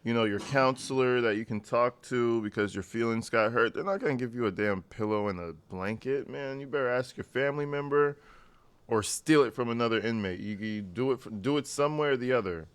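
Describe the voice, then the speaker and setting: A man's voice: mid-range voice, brisk, clear, resonant tone. A man hosting a reaction channel, commenting bluntly and mockingly on a jail call.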